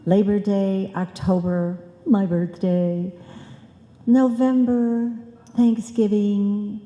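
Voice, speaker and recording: An actress playing a chatty, muddled, earnest housewife with money-making notions in an old-time radio comedy. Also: female voice, mid-range voice, little pitch movement, slow, warm tone, highs cut off, lightly acted. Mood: excited scheming. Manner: muddled, earnest, malapropism-prone. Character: scatterbrained, chatty housewife eager to make money. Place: live performance in a reverberant hall. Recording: echoey hall, live stage performance.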